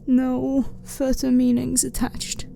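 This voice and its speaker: A woman playing a blunt, clinical but harmless alien scientist in an ASMR sci-fi roleplay, speaking in a formal, measured, matter-of-fact way. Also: sits high, completely flat delivery, heavily acted. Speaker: ASMR voice performer. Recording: clearly noisy ambient background.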